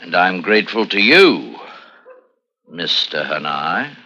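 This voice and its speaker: An actor playing a middle-aged professor who is a coolly menacing spy chief, urbane and deliberate, in a 1950s radio thriller. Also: male voice, deep voice, very animated, bright, forceful tone, heavily acted. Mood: smug, menacing. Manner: urbane, deliberate, savouring pauses. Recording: muffled, noisy old radio recording with clicks.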